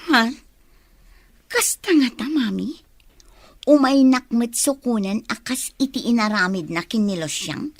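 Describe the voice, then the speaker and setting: A woman's voice: a little high, a little slow, long pauses, no room sound, clean studio sound. A woman playing an eager, obedient young secretary and daughter in a radio drama, with an Ilocano accent. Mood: eager, questioning.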